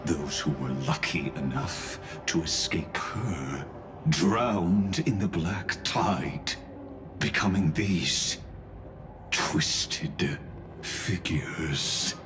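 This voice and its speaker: An actor voicing a sinister, eloquent scholar-schemer in a game dub, speaking with theatrical, condescending courtesy and deliberate phrasing. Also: male voice, a bass voice, over music, heavily acted. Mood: calm, ominous. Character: sinister, eloquent, amused male scholar-schemer of a doomed world. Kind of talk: English game dub voice acting.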